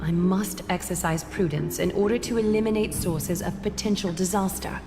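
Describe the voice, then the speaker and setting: An actress playing a solemn, regal leader in a fantasy game, speaking in a measured, formal and commanding way. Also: female voice, lowish voice, natural voice. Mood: stern, judging. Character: solemn, regal woman leader, ritual guide and interrogator. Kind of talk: scripted fantasy game dialogue. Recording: long reverb, clear music behind.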